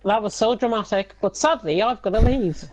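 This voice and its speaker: A woman's voice: medium pitch, moderate pace, darkish tone, low-quality recording. A woman guest commentator on a sim racing livestream, talking in a dramatic, exclaiming way with a voice she has nearly lost.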